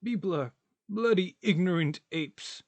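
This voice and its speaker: A man acts out an irritable, world-weary tramp in a radio play. The voice sits high and moves slowly, terse and grumbling, full of contrary questions. The sound is very dry. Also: dry recording. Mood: contemptuous.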